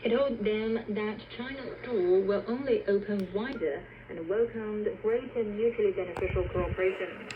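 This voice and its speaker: A woman reading a scripted news report as a radio newsreader. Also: mid-range voice. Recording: dull, noisy shortwave radio broadcast.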